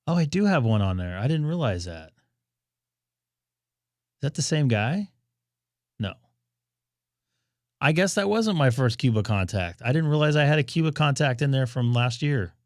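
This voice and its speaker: A man hosts a ham radio live stream from his home station, chatting casually and thinking aloud as he logs contacts. His mid-range voice is starting to go hoarse. The sound is close and dry, with no room echo. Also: medium pitch, expressive, normal speed, long pauses. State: losing his voice.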